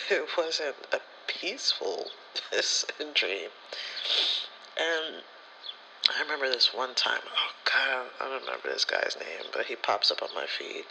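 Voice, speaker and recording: Bright, crisp-edged man talking candidly. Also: mid-range voice, big pitch swings, slow, long pauses, a little hiss or hum, poor audio. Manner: candid, rambling, slangy, swears freely. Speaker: spirituality content creator. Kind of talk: casual personal storytelling, ASMR vlog.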